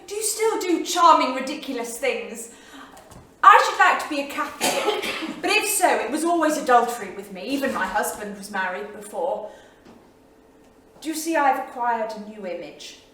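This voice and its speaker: An actress playing a restless, flirtatious 1930s bohemian wife in a theatrical staged reading, gushing and affected, with a brittle edge. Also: female voice, high voice, pushed and bright, full character voice. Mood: gushing, excited. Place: small theatre studio, indoors. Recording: echoey theatre studio from far off, faint noise.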